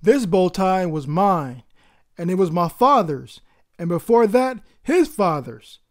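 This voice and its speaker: A woman's voice: on the low side, lively intonation, takes it slowly, a little mellow. A woman voicing a proud, encouraging father in a children's storybook, speaking with reassuring emphasis.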